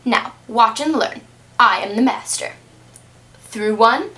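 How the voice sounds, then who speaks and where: Lady doing a bossy hot-tempered puppet show queen, really high, far from the mic. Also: animated, slow pace, pushed and bright, heavily acted. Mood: boastful. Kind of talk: amateur puppet-show storytelling. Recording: roomy, distant microphone.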